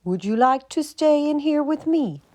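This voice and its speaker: A woman's voice: sits high, expressive, moderate pace, dark tone, voice pushed up. A woman voicing a sociable, practical male squirrel in a children's story, with a neighbourly manner. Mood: friendly, thoughtful.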